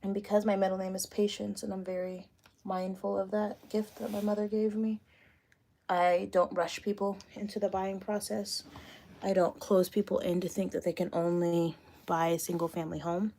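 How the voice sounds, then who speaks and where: A woman in her late thirties talks into her phone at home, loose and chatty as she answers viewers' questions. Her voice is mellow and dark, with a gravelly fry running through it. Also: mid-range voice, normal speed. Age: 30s. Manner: chatty and relaxed, thinking aloud while doing her hair. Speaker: realtor livestreaming a Q and A. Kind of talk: casual livestream chat. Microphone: phone camera.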